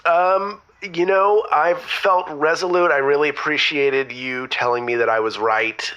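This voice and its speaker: A man's voice: a little high, steady tone, unhurried, bright, forceful tone, clear, resonant tone, lo-fi sound. A man playing an earnest, stubborn boyfriend calling a radio show in an audio comedy, hesitant but pedantically insistent. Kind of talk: scripted audio comedy drama.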